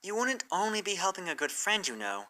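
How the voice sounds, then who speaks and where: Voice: medium pitch, big pitch swings, a little fast, sharp tone, voice pushed up. A performer voicing a caring young female pony mentor who speaks in an earnest, coaxing way. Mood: persuasive.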